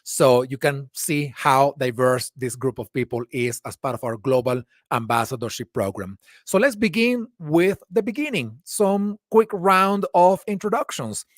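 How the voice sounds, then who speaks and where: A man from Venezuela moderating a casual online panel over a video call, chatty and quick to laugh. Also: mid-range voice, animated, dry recording, studio quality. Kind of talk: casual webinar hosting.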